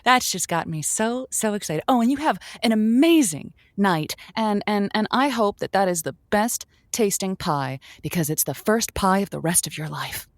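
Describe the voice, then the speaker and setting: A woman's voice: medium pitch, animated, moderate pace, hardly any pauses, dry recording, studio quality, close to an everyday voice. A woman playing a perky, folksy radio advice host, effusive, earnest and reassuring, in improvised comedy.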